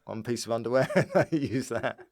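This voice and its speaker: A man's voice: mid-range voice, fairly quick, creaky, a little mellow. A man speaking as a comedian guest on a podcast, chatting casually in a rambling, jokey way. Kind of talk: casual comic chat.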